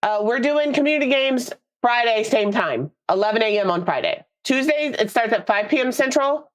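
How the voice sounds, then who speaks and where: A woman's voice: fairly high pitch, animated, normal speed. A young woman from the United States, a streamer, chatting casually with her viewers in a rambling way and laughing easily, a little congested and nasal. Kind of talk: casual live-stream chat.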